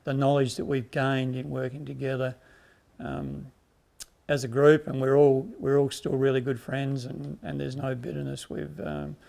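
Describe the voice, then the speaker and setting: A grain grower in his fifties with an Australian accent answers questions outdoors on a farm, speaking through a clip-on mic. His mid-range voice has a strong gravelly fry. He speaks in a measured way, often restarting and repeating words. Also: mid-range voice, flat delivery, mellow. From South Australia. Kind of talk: documentary interview answers.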